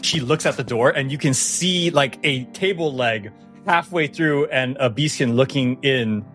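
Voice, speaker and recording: A man's voice: mid-range voice, unhurried. A man improvising scene narration as game master of a tabletop fantasy game. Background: background music.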